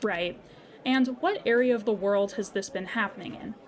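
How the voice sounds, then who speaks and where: A woman playing a patient, courteous insurance customer service agent in a scripted audio comedy, speaking formally and with measured politeness. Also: medium pitch, natural voice. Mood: patient, apologetic. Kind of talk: scripted comedy audio drama phone call.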